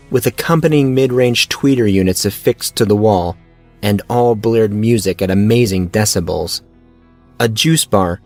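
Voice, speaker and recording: A man reading scripted fiction as the narrator of an audio drama. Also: medium pitch.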